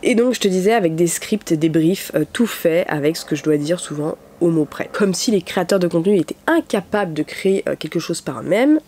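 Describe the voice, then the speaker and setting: A woman's voice: lowish voice, expressive, brisk, few pauses, a little mellow, slight background noise. A young woman with a cold, speaking a bit through her nose, chatting to her viewers as a YouTuber with lots of fillers. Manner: chatty, many "euh" fillers. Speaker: YouTuber, content creator. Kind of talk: casual talk to camera. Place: at home, window open, rain outside.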